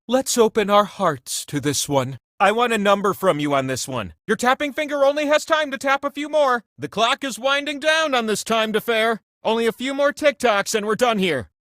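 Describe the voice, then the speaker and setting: A giant talking wheel hosting a video game quiz show, pitched high and swooping theatrically through every line. The delivery is whimsical and doting, grandly coaxing the players along in a dry, close studio sound. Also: male voice, fairly bright tone, no room sound. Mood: playful, encouraging.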